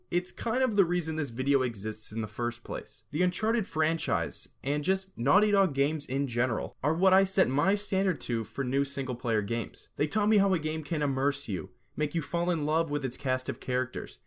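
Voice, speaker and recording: A young man presents a casual, scripted video essay as its host. His voice sits a little high and moves up and down in an animated way. He is sarcastic and joking, dropping profane asides as he goes.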